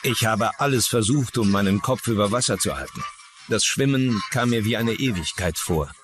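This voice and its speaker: A man's voice: on the low side, lively intonation, normal speed, over music, lightly acted. A man voicing a shaken young deckhand in a documentary voice-over dub. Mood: shaken, recounting. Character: shaken young deckhand recounting a sea disaster.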